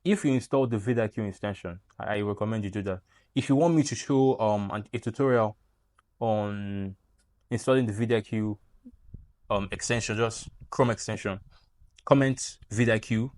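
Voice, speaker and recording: A man's voice: on the low side, normal speed, no echo, clean studio sound. Playful young Nigerian man. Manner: animated, playful, jokey asides. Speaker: YouTube creator. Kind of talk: casual tutorial talk to camera.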